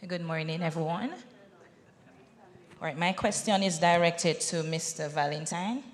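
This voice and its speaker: A woman's voice: lowish voice, fairly even intonation, a little slow. A woman in the audience asking a panelist a polite, formal question. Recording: reverberant conference hall.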